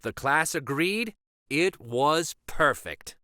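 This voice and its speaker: A man reading a children's picture book aloud as narrator, with expressive, dramatic emphasis. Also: a little high, slow pace, clear, resonant tone, no room sound, studio quality. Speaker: children's storybook reader and host.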